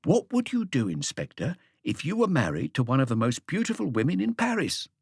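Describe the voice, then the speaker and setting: A man's voice: on the high side, wildly swooping pitch, a little fast, vocal fry, a little mellow, slightly rough, no room sound, studio quality, exaggerated voice. A man voicing a misanthropic old recluse in an audiobook, mocking and repeating himself with rhetorical questions. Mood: goading. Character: rheumatic old male recluse, misanthropic, scornful, goading.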